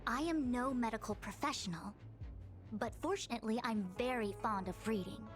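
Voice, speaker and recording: A woman's voice: on the high side, normal speed, faint music behind, lightly acted. A woman playing a learned, exacting diviner in a video game, speaking formally and precisely in long explanations. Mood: lecturing. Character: learned, exacting female diviner, long-winded and self-assured. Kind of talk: scripted video game character dialogue.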